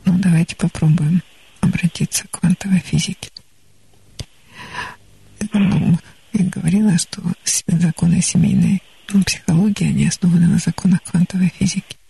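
A woman psychologist counselling a caller on a studio radio call-in show, calm and gently advising. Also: fairly low pitch, moderate pace, vocal fry, breathy edge, poor audio.

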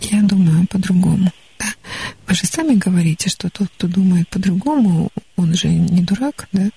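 A woman psychologist counselling a caller on a studio radio call-in show, calm and gently advising. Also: on the low side, moderate pace, vocal fry, slightly rough, no room sound.

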